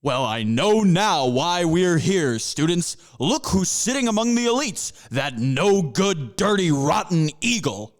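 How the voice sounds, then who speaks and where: A man's voice: fairly high pitch, sing-song, unhurried, hardly any pauses. A man voicing a pompous panther professor who lectures his students in grandiose, stretched-out phrases. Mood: lecturing. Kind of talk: amateur audio drama.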